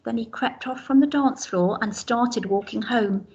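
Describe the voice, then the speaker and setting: A woman's voice: a little high, measured pace, darkish tone, muffled recording. A woman teacher reading a rhyming picture book aloud to young children, pointing out the pictures as she goes. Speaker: infant school teacher.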